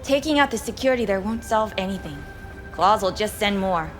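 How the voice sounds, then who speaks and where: A woman performs a cold, sardonic game schemer with a mid-pitched voice. She is curt, haughty and all business, with music playing behind her. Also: lively intonation, brisk, lightly acted. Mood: scornful. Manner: curt, disdainful. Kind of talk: video game cutscene acting.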